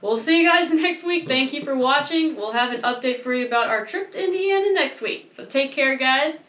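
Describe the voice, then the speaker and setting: A young woman co-hosting a casual chat, self-mocking and quick to laugh. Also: high voice, lively intonation, fairly bright tone, reverberant, a little hiss or hum. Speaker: co-host.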